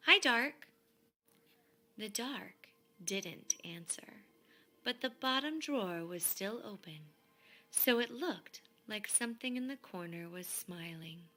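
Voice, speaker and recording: A woman reading a children's story aloud expressively, in measured phrases that often fall to a hush. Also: mid-range voice, animated. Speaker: storybook reader.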